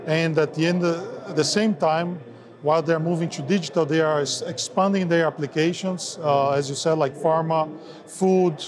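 Halting man at a noisy trade fair. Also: on the high side, fairly even intonation. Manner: halting, many filler sounds, slightly non-native phrasing. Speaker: sales head of an ink and coatings firm. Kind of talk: trade show interview. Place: busy trade fair hall, indoors. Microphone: lapel mic. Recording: crowd noise of a trade fair. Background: crowd chatter.

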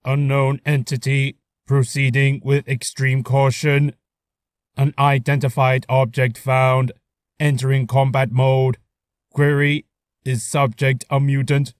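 A man's voice: medium pitch, level tone, takes it slowly, no echo, studio quality, lightly acted. A man voicing a cold, methodical combat robot in clipped mechanical announcements for a voice reel. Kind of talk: character voice reel.

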